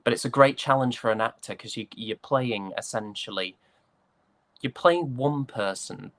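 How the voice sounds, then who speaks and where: A young British man chatting casually as an interview guest, an actor recounting his roles in a hesitant, halting way. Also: medium pitch, a bit bright, no echo. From United Kingdom.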